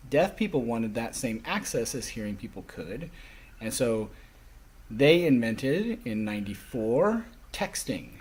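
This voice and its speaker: A man speaking a lecture to a class, explaining things in halting, phrase-by-phrase delivery. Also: mid-range voice, darkish tone, breathy edge.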